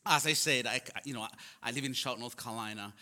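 A man with a Ugandan accent, speaking English as a later language, tells his story as a guest speaker. His voice is bright and forceful at a middle pitch, carried by long hall reverb. He uses a storyteller's delivery with self-deprecating humour. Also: quick pace. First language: not English; English is his fourth language. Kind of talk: personal testimony to a congregation.

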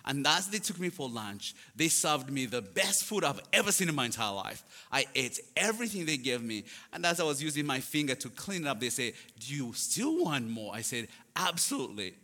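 A man's voice: mid-range voice, sing-song, fairly quick, few pauses, sharp tone. Ugandan-accented man in a reverberant hall. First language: not English; English is his fourth language. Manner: storytelling with self-deprecating humour. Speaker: guest speaker for a charity. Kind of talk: personal testimony to a congregation.

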